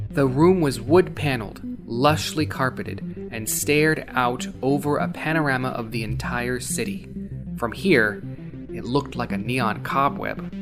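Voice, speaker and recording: A man voicing a weary young courier who narrates a cyberpunk story in a subdued, measured way. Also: medium pitch, lightly acted. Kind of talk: scripted first-person audio fiction narration. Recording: music behind the voice.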